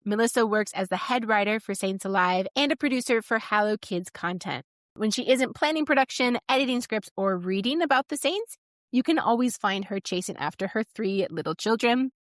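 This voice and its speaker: A woman's voice: fairly high pitch, lively intonation, fairly quick, no echo, studio quality. A woman speaking as a podcast host, giving scripted introductions and asking interview questions.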